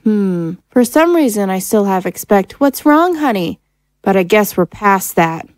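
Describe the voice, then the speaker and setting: Strained, insistent wife and mother played by a woman, slow-paced, mid pitch, dry recording, audio drama. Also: expressive, a little mellow, no echo, lightly acted. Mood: bitter.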